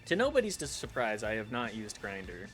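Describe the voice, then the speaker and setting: A man streaming a video game, chatting casually in a rambling, self-deprecating way with animated asides. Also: fairly low pitch, normal speed. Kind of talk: casual chat while commenting on gameplay. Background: game music.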